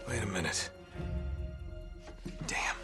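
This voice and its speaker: A man's voice: takes it slowly, lightly acted. An actor playing a wisecracking, eager treasure hunter in his thirties, with an American accent and a casual, wry delivery in a game cutscene. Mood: curious, absorbed. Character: wisecracking, eager male treasure-hunting adventurer. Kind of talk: video game cutscene acting. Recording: game music behind the voice.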